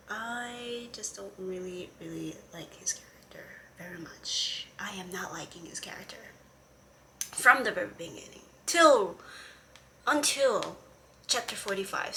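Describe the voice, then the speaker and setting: A woman's voice: mid-range voice, pushed and bright, a little hiss or hum, rough recording. A woman vlogger from Hawaii chatting casually about a comic she is reading, exasperated and rambling, with many fillers and restarts.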